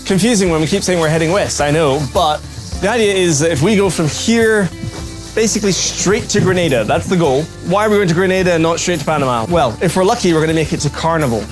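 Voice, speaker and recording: A man chatting casually and wryly to camera as a sailing vlogger aboard a boat at sea. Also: on the high side. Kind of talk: casual vlog narration to camera.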